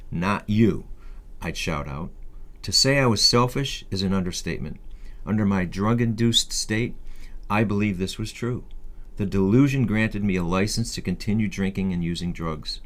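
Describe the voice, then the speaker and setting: A man reading a scripted first-person memoir as an audiobook narrator. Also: deep voice.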